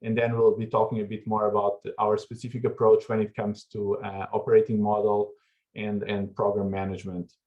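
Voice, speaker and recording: Flat-voiced man from Portugal presenting. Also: lowish voice. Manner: measured, with frequent fillers and restarts. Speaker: keynote speaker. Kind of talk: online conference presentation. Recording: dull lo-fi video call audio.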